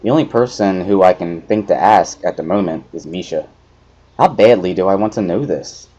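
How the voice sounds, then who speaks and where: A man narrating a first-person visual novel story as an expressive dramatic reading. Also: sits low, moderate pace.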